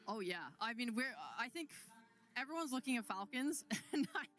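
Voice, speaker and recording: A woman with a fairly high-pitched voice works as an esports analyst. She talks in a casual, conversational way on a panel. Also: animated, normal speed, a bit bright.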